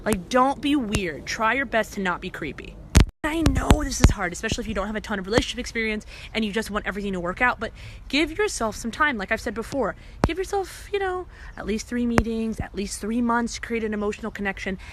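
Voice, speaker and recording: A woman with a fairly high voice talks casually and emphatically, like someone giving advice in a vlog. Her delivery is conversational and direct. Clear background noise runs under her speech. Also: expressive, quick pace. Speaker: relationship advice vlogger. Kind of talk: casual advice talk to camera.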